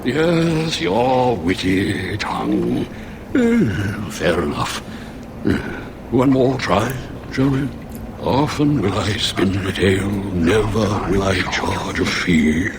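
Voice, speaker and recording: An actor playing a weary, sly spirit beast in a fantasy video game, with a languid, theatrical, drawn-out delivery. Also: male voice, low-pitched, sing-song, background noise, exaggerated voice. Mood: amused, sly. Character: weary, sly old bear-like spirit posing riddles.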